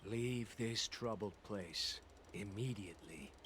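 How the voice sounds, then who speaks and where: A man playing a stern, formal messenger in a video game, speaking in a measured, grave way. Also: fairly low pitch, a bit bright, a little hiss or hum. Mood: stern warning. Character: male Vidyadhara of an alchemy commission, stern messenger giving warnings. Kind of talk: video game dialogue acting.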